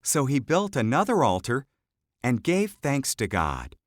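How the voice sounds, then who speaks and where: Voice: medium pitch, wildly swooping pitch, measured pace, no echo, clean studio sound. A narrator reading a scripted children's Bible story.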